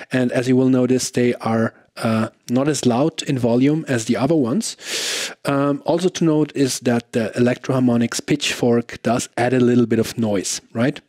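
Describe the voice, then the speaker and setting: Guy doing a casual gear review, explains stuff conversationally, mid pitch voice, really dry sound. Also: a little mellow, no echo. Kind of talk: casual product demo talk to camera.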